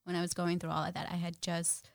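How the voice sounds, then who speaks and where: A woman's voice: on the low side, monotone, fast, creaky, no echo, clean studio sound. Bubbly young woman guest, laughing often. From Guyana. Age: 20s. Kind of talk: casual interview chat.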